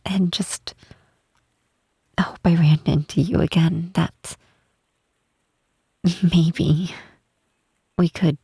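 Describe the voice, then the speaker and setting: Give this as a woman playing a gentle giant of a half-troll cleric in an intimate ASMR roleplay. She speaks low and slowly, halting and tender, with a shy wistfulness. The sound is close and very dry. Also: steady tone, dry recording, heavily acted. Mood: shy, hopeful. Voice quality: soft, breathy. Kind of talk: ASMR roleplay, intimate softly spoken acting. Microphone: close microphone, dry.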